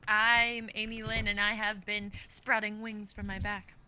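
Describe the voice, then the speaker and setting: A woman's voice: medium pitch, little pitch movement, unhurried, sharp tone. A woman in her twenties chatting casually in a group of performers. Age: 20s. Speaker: member of a reading group. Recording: clear background noise with clicks.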